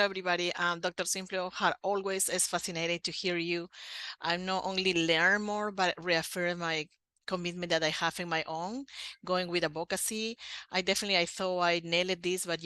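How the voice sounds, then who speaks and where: A man from Peru with a Peruvian Spanish accent, a Spanish native speaker giving an earnest webinar presentation over a video call as a program coordinator, his phrasing slightly halting. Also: high-pitched, level tone, bright, forceful tone, smooth, no echo.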